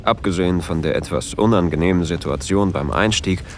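An actor playing an introverted, easily flustered train traveler who tells of a romantic encounter in an intimate audio drama. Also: male voice, low voice, brisk, a little hiss or hum, lightly acted. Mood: intimate, longing.

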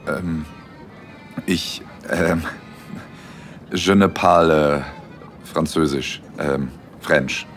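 A man's voice: a bass voice, steady tone, drawn-out words, pauses often, soft background music, full character voice. An actor playing a dreamy, shy young man in an intimate first-person audio drama. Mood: shy, flustered.

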